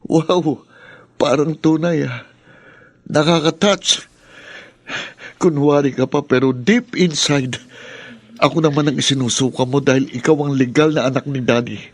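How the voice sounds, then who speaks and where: An actor playing a proud, wounded younger son in a Filipino radio drama, with emotional delivery and a Filipino accent. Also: male voice, a little high, measured pace, pauses often, no room sound. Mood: bitter, sarcastic. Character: proud, wounded younger son, bitter and self-reproaching, later humbled.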